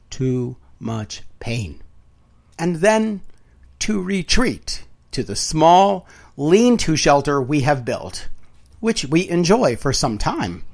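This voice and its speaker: Man reading a wry literary audiobook. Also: mid-range voice. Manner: dramatic, wry, deliberate phrasing with emphatic swells and hushed drops. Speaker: audiobook narrator.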